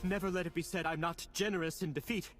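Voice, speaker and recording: An actor playing a smug, unflappable crooked alien boss in a video game, smooth-talking and flippant as he haggles. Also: high voice, steady tone, fast talker, over music, close to an everyday voice. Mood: slick bargaining. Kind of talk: video game cutscene acting.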